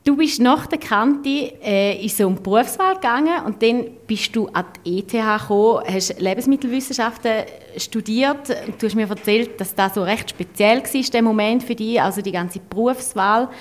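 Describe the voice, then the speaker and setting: A woman's voice: on the high side, moderate pace, hardly any pauses. A woman with a Swiss German accent, hosting a podcast interview in a casual, chatty style. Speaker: podcast host.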